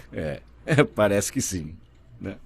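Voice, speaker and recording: A man voicing a deaf guest's signing in the first person, telling his story in a lively, expressive way. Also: fairly low pitch, very slow, gravelly, husky. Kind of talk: simultaneous voice interpreting, first person.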